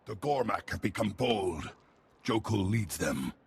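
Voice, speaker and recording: A stern, formal alien commando leader from a video game. The voice is low and gravelly, delivering clipped, solemn statements over faint background noise. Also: male voice, very animated, a little slow, exaggerated voice. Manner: clipped, solemn short declarations.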